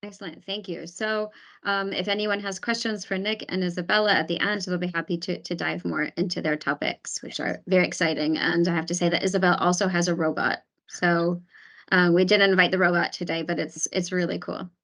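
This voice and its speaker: A woman's voice: fairly low pitch, fairly even intonation, brisk, fairly bright tone. A woman hosting an online webinar as program advisor, talking with many fillers and restarts over a video call. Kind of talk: webinar presentation.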